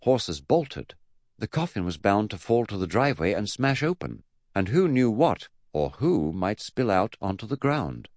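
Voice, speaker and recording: A man's voice: lowish voice, big pitch swings, measured pace, darkish tone, no echo, clean studio sound. A man voicing a patient, puzzled twelve-year-old boy in a comic children's audiobook, hesitant, polite and earnest. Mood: nervous.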